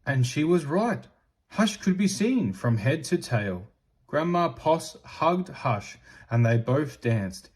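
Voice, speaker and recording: A man reads a children's storybook aloud in expressive narration. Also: fairly high pitch, slow, breathy edge. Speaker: storybook reader.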